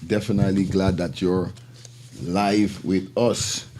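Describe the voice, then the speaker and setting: Male live show host, Caribbean accent, low mellow voice, loose slangy repetitive chat. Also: level tone, takes it slowly, a little hiss or hum. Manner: informal, repetitive, slangy patter. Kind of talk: casual live-stream talk with shout-outs.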